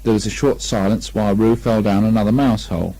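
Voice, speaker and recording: A man's voice: fairly low pitch, steady tone, fast, mellow. A man narrating a children's storybook from a script. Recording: lo-fi amateur 1980 speech recording.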